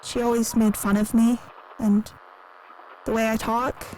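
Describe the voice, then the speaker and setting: An actress playing a timid, lonely, naive museum worker in an audio drama, speaking hesitantly and earnestly in a recorded interview. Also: female voice, on the high side, flat delivery, measured pace, natural voice, low-quality recording. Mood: timid, confiding. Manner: hesitant, soft-spoken, earnest. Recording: clearly audible background noise.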